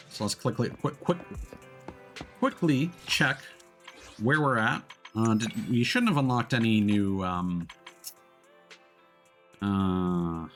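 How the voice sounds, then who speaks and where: A man's voice: on the low side, a bit bright. A man chatting casually to viewers on a livestream in an easygoing, rambling way. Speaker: VTuber livestreamer. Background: music.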